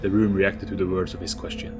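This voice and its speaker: A man's voice: low voice, level tone, fast. A man giving a scripted, dramatic narration of an audio story. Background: music.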